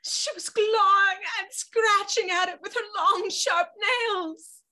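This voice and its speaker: Picture a frightened young girl in an audio drama. Her voice is extremely high and bright, with slightly slow, tearful delivery. She pleads and insists, deeply emotional. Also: fairly even intonation, exaggerated voice. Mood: anguished insistence.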